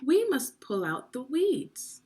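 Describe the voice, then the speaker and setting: A woman's voice: high voice, big pitch swings, slow pace, warm tone, breathy edge, pitched above the performer's own voice, full character voice. A woman voicing the earnest, hardworking youngest brother in a children's storybook, speaking in emphatic declarations. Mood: determined.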